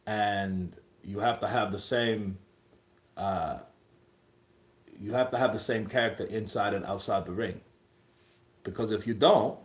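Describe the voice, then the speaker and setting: A man doing a soft-spoken motivational boxer. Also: lowish voice, measured pace, long pauses, natural voice. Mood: earnest.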